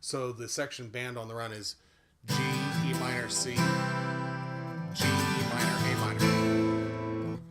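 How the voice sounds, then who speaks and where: A man's voice: medium pitch, level tone, slow, long pauses. A man giving a casual guitar and singing lesson with a big voice, explaining at an easy pace with frequent "uh" fillers. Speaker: guitar and voice teacher. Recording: guitar playing loudly behind the voice.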